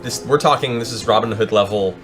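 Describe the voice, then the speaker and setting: A man's voice: fairly low pitch, fairly quick. A man narrating a tabletop fantasy game as game master, in a dramatic, improvised storytelling style. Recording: music behind the voice. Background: background music.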